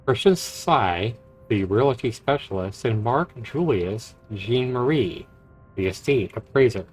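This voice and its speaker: A man's voice: mid-range voice, expressive, measured pace, slightly rough, poor audio. A man who streams games, reading visual novel narration aloud and reacting to it. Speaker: game streamer. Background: game music.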